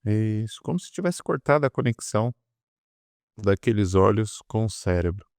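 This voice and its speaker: Deep-voiced Brazilian man guiding hypnosis. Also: lively intonation, darkish tone, no room sound, clean studio sound. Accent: Brazilian Portuguese. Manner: soothing, repetitive, drawn-out phrasing with pauses. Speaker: guided meditation and hypnosis guide. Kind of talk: guided meditation, hypnotic induction.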